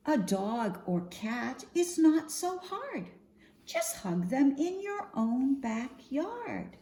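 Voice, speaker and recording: A woman reading a picture book aloud for young children in an expressive, singsong storytime manner, often posing questions to her listeners. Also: sits high, drawn-out words, gravelly. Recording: roomy echo, faint background noise.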